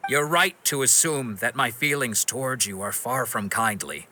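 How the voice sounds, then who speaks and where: A man playing a stern but forgiving wandering swordsman in a video game, speaking in a measured, firm and frank way. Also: mid-range voice, lively intonation, sharp tone. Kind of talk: dramatic video game acting.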